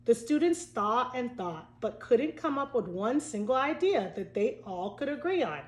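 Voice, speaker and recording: A woman teacher reading a storybook aloud to children, expressive and speaking directly to young listeners. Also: on the high side, normal speed. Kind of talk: storybook read-aloud with asides. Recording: echoey room.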